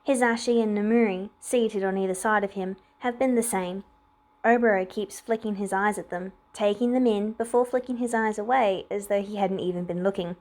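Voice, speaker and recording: A woman's voice: medium pitch, fairly even intonation, moderate pace, darkish tone. A woman reading scripted fiction aloud as a narrator. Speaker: podfic reader.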